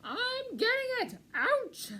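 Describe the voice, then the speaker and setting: A woman voicing a scrappy, cheerful, bumbling male pack rat from a storybook, loud and blunt with a breezy manner. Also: very high voice, sing-song, slow, slight background noise, voice pushed up, full character voice. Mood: pained, whining.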